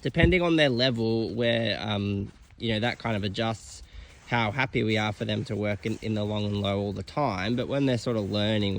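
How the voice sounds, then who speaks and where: A man's voice: on the low side, vocal fry. A man filming and casually commenting on a horse training session, with lots of fillers. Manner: relaxed, filler-heavy explaining.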